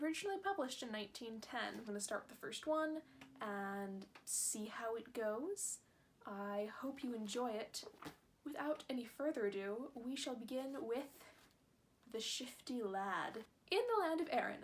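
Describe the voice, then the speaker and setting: A woman's voice: fairly high pitch, a little hiss or hum. A young woman reading a fairy tale aloud as a host, with chatty, self-deprecating asides. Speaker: channel host.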